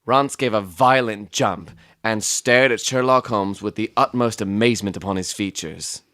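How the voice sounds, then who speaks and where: A man plays a doctor telling of his detective companion in a dramatized storybook. His narration is measured, observant and literary. The voice is on the low side, with a dry, roomless sound. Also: sharp tone, dry recording. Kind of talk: scripted first-person storybook narration.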